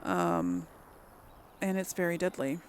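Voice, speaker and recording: A woman's voice: on the low side, very animated, slow, a little hiss or hum. A woman giving casual gaming commentary, thinking aloud in a hesitant, musing way and often trailing off. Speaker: let's player hosting a role-play playthrough.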